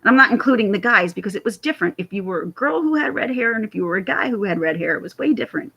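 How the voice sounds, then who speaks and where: A woman with a raspy voice chatting casually to camera on her vlog, rambling and laughing often. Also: mid-range voice, animated, rapid-fire, sharp tone, lo-fi sound. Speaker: YouTube commentator.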